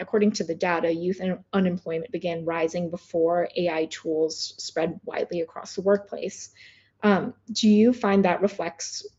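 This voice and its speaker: A woman interviewer speaks in a slightly low register, conversational as she sets up questions for a news discussion. The recording sounds a little dull and lo-fi. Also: warm tone. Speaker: interviewer, host.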